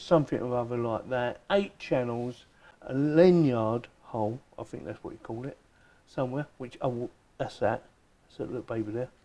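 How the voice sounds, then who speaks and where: A man's voice: mid-range voice, mellow. A man giving a casual, chatty unboxing and product demonstration, thinking aloud informally as he goes. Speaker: product reviewer.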